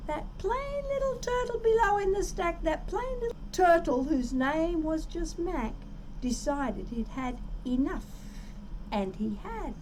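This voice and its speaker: Female children's storyteller: high voice, very slow pace, expressive picture-book narration. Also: noisy background. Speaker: storyteller reading aloud for children.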